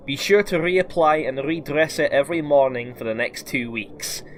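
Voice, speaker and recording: A man's voice: on the high side, normal speed, a little hiss or hum, lightly acted. A man playing a blunt, sweary but caring dwarf doctor with a Scottish accent in a fantasy audio drama. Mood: stern, kindly.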